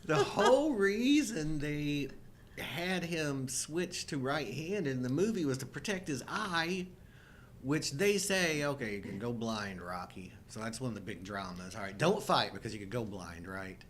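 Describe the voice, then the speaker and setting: A middle-aged man chats casually as a show co-host, his animated voice rising and falling widely. He gives joking movie commentary over faint rumbly background noise. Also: measured pace. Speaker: co-host of a live chat show.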